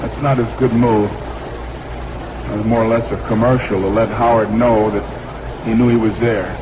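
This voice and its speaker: A man's voice: on the low side, level tone, unhurried. A man doing live 1960s radio baseball play-by-play in short, clipped phrases, repeating the count. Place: ballpark broadcast booth. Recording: noisy vintage 1960s radio broadcast.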